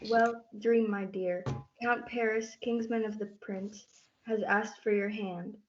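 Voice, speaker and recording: A woman's voice: medium pitch, steady tone, takes it slowly, close to an everyday voice. A female student performer playing a proper noblewoman and persuasive mother in a reader's theatre play. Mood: formal, persuasive. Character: proper noble mother urging her young daughter to marry. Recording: muffled lo-fi audio, noticeable noise.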